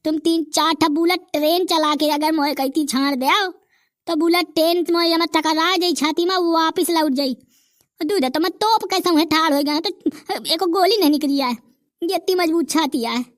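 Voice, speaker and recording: A woman's voice: very high-pitched, normal speed, no echo, studio quality. A woman voicing Nanku, a boastful old village grandfather, with a Bundelkhandi accent and rustic, bragging rants in a cartoon comedy.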